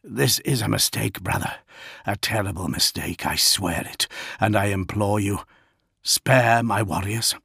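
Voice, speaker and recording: A man voicing a proud, earnest knightly commander in an audiobook, speaking with measured, insistent intensity. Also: on the low side, vocal fry, sharp tone, slightly rough, no echo, studio quality, heavily acted. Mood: pleading. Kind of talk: audiobook character acting.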